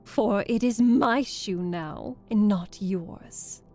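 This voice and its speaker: A woman's voice: medium pitch, slow, darkish tone, faint music behind. A woman voicing a wicked old witch in a dramatic storybook reading. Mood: scornful, gloating. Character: wicked old witch, scornful, wailing as she melts.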